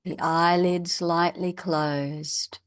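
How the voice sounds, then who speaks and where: A woman leading a guided meditation as a teacher, in a measured, instructional way with long pauses. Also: fairly low pitch, level tone, clear, resonant tone, no room sound, studio quality.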